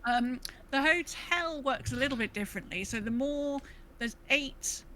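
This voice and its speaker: A woman's voice: sits high, expressive, a little slow, pushed and bright, a little hiss or hum, poor audio. A woman co-hosting a podcast, chatting casually about gaming in a wry, self-correcting way. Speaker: podcast co-host.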